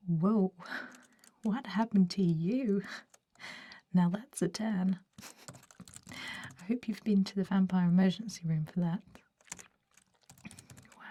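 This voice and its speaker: A woman voicing a chatty, gushing female vampire office worker in a breathy, whispered ASMR roleplay, full of casual filler words. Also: medium pitch, warm tone. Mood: brisk, curious.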